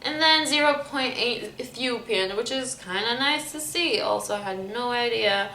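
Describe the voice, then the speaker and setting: A woman's voice: on the high side, animated, normal speed, bright, forceful tone. A woman from Lebanon chatting casually and informally, thinking aloud and often hedging as she goes. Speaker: vlogger. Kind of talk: casual rambling chat. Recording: roomy echo, faint background noise.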